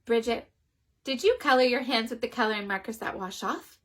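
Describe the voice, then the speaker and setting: A woman voicing a frantic, fussy mother in a children's story, with panicked, shrill cries. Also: brisk, close to an everyday voice. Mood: scolding.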